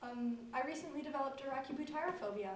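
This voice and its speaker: A young woman acting in an amateur stage comedy as a fussy, phobia-ridden picky eater on a date, deadpan in delivery. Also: high voice, fast, close to an everyday voice. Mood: apologetic, deadpan. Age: teenager or young adult. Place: echoey indoor stage, recorded from afar. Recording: echoey stage, distant mic, faint noise.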